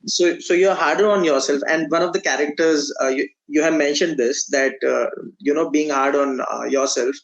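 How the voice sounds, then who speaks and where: A man hosting a book podcast, asking hesitant questions with frequent fillers and pauses. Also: fairly high pitch, steady tone, vocal fry, dull audio. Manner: hesitant, many fillers, frequent agreeing backchannels. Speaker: podcast host. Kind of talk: casual interview questions.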